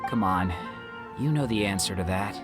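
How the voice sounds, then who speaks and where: A man's voice: on the low side, normal speed, music in the background, lightly acted. An actor playing a blunt, level-headed man in a video game, talking in a dry, matter-of-fact way. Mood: resigned. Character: blunt, level-headed male theater colleague.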